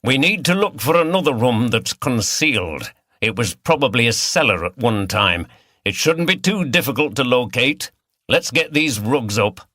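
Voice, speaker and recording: A man voicing an authoritative, brisk police detective in charge in a mystery audiobook. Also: medium pitch, big pitch swings, pushed and bright, no room sound, clean studio sound. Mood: urgent. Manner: authoritative, explanatory.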